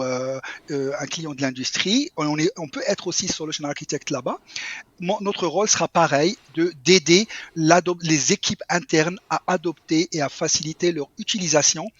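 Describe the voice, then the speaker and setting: Husky man from morocco, bit higher voice, explains stuff with lots of uh, dull dry audio. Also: fairly bright tone, no room sound. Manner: friendly, explanatory, with fillers. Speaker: guest, cloud architect. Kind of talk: casual interview.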